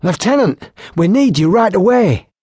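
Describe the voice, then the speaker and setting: A man's voice: sits high, sing-song, normal speed, a little mellow, husky, no echo, clean studio sound, pitched above the performer's own voice, heavily acted. A man reading an audiobook, voicing an urgent young male messenger who hesitates as he speaks.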